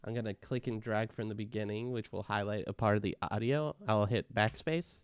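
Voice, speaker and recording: A man narrating a casual step-by-step software tutorial in a plain, instructional manner. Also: on the low side, steady tone, fairly quick, clean tone, no echo.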